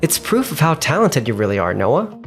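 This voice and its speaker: A man's voice: mid-range voice, sing-song, normal speed, faint music behind. A man playing a confident, supportive young colleague in a manga dub, assured and encouraging. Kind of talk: expressive manga dub voice acting.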